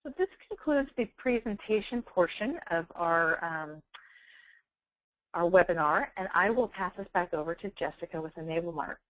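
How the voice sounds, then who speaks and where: A woman giving an explanatory software presentation in a measured, careful, professional way. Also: on the low side, expressive, low-quality recording. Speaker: webinar presenter, software company representative.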